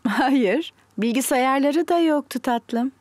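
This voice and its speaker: A woman voicing a kindly, patient mummy pig in a children's cartoon, gentle and motherly as she explains things. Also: high voice, slow pace, background noise. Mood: patient, explaining. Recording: light music behind.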